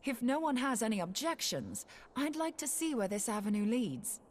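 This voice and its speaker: A cheeky, practical inventor in a fantasy video game. She speaks with breezy confidence and a very lively, swinging melody. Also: on the high side, moderate pace. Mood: confident, keen. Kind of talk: scripted fantasy game dialogue.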